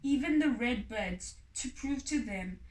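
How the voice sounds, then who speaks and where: A woman narrating a children's folk tale expressively, with deliberate, dramatic phrasing and pauses. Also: on the high side, animated. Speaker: storybook reader. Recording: echoey room, distant microphone.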